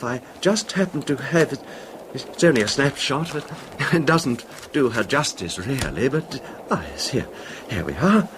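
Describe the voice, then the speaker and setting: An actor playing a nervous, apologetic little man in a 1970s radio horror drama, soft-spoken, tentative and overly polite. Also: medium pitch. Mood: wistful, unsettled. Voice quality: soft-spoken, sometimes barely audible. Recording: faintly noisy old radio recording.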